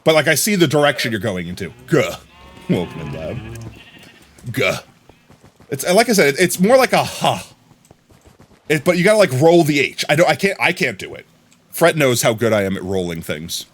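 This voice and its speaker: An American man talks casually to his viewers, rambling along and yelling excited thanks now and then. His pitch sits in the middle but moves a lot, so the voice sounds lively and animated. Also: moderate pace, pauses often, slightly rough. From United States. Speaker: livestreamer. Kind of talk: casual live-stream chat.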